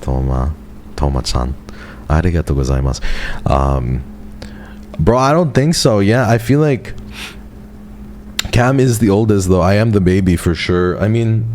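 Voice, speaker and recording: A man in his twenties, a streamer rambling affectionately to his viewers in a casual livestream chat, his voice jumping up when he gets excited. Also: low-pitched, a little hiss or hum. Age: 20s. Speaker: VTuber streamer.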